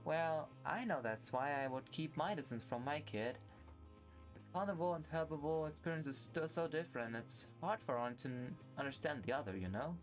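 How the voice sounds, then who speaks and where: A man's voice: fairly high pitch, moderate pace, faint music behind, natural voice, poor audio. Man putting on an earnest, caring young furry girl. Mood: shy, gentle. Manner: earnest, reassuring, hesitant. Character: Cosette, tiny caring teenage girl, furry carnivore.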